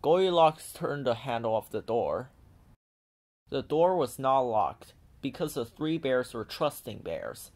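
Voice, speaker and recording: A man narrating a children's storybook in an animated read-aloud style. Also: mid-range voice, a little slow.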